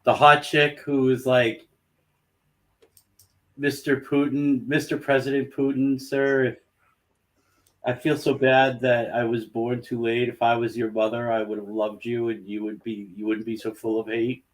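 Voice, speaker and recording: A man's voice: medium pitch, flat delivery, unhurried, low-quality recording. A man chatting on a casual podcast, explaining things in a matter-of-fact way with some hesitation. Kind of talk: casual chat.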